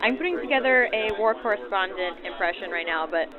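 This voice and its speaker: A woman's voice: mid-range voice, expressive, brisk. Chatty young woman, tinny radio, crowd behind. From New Orleans, United States. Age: young adult. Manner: chatty, informal, self-deprecating. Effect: vintage 1940s radio filter. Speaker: passenger and reenactor being interviewed. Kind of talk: casual, spontaneous interview chat.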